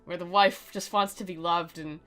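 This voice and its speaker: A woman with a bright, sharp voice, a little on the low side, reads and comments on a visual novel. Her delivery is sarcastic and mock-dramatic, over faint music. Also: fairly even intonation, normal speed. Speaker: game commentator and reader.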